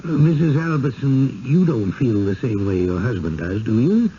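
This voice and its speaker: An actor playing an effusive, insistent village booster with a pompous, flowery manner in a radio drama. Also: male voice, medium pitch, sing-song, moderate pace, warm tone, close to an everyday voice. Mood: patronizing, coaxing. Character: effusive, insistent small-town village booster, genial and smothering. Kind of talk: vintage radio drama acting. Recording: dull, faintly noisy 1970s radio broadcast.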